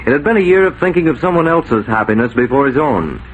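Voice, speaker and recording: Dramatic 1940s radio announcer, faint noise. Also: male voice, medium pitch, expressive, fast, phone-quality sound. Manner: dramatic, declamatory. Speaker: announcer and narrator. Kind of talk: 1940s radio scripted narration. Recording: noisy 1940s radio recording.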